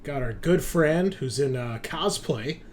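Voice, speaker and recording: A man's voice: a little high, lively intonation, measured pace. A man hosting in a casual, chatty and joking way, as game master on an online call. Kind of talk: casual podcast introduction. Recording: noticeable background noise.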